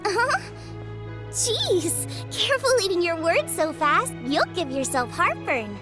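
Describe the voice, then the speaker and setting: A bubbly teenage girl chef in a video game. Her voice is extremely high and girlish, bouncing around in pitch with eager, earnest friendliness. Music is clearly heard behind her. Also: sing-song, slow pace, full character voice. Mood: flustered. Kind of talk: English video game voice acting.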